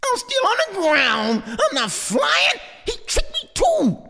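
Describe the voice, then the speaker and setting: A man voicing a young boy hawk chick who whines and cries out in a high squeal. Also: huge pitch swings, takes it slowly, gravelly, bright, forceful tone, in a large hall, heavily acted. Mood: whiny. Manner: squealing, crying out.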